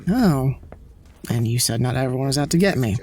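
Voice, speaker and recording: A man's voice: medium pitch, lively intonation, fairly quick, darkish tone. An actor playing a nervous male scientist on the run in an audio drama, speaking with a wry, jittery edge.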